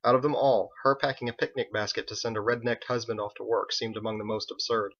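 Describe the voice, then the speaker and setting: A man reading his own fantasy novel aloud as an expressive, scripted audiobook narration. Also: on the low side, fast talker, highs cut off.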